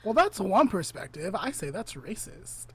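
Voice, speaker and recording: Woman with lively, swinging intonation. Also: medium pitch, moderate pace, husky. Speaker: podcast host. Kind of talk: casual chat.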